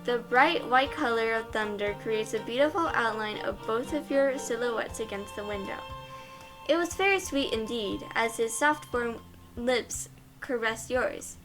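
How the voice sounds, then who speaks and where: Young female narrator, higher voice, expressive fan fiction storytelling with clear music underneath. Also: measured pace, rough recording. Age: young adult. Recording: music behind the voice.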